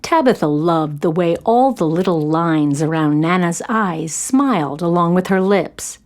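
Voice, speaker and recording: A gentle, unhurried storybook narrator. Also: female voice, on the low side, animated. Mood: tender. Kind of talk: scripted narration.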